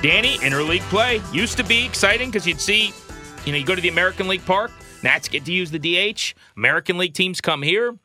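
Bright, forceful man hosting a baseball podcast. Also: high voice, animated, quick pace, soft background music. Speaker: sports podcast host. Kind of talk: energetic baseball show talk.

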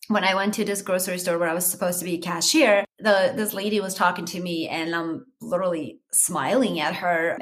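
A middle-aged woman from Armenia, whose first language is Armenian, hosting her own podcast in a chatty, animated solo talk addressed to her listeners. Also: fairly low pitch. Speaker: podcast host.

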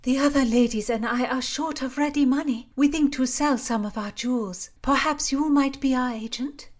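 Woman doing a gossipy lady-in-waiting, breathless, scornful, raised pitch, storybook. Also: normal speed.

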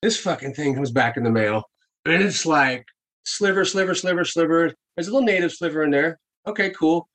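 A man's voice: on the high side, brisk, a bit bright. A man chatting casually on a podcast, telling stories with frequent laughs and swearing. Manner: storytelling, swears freely, laughs often.

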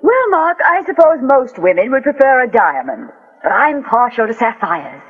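A woman playing a refined, excitable society lady in a 1940s radio drama, with theatrical exclamations. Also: on the high side, moderate pace, husky. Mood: curious, gushing. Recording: dull vintage 1940s radio recording.

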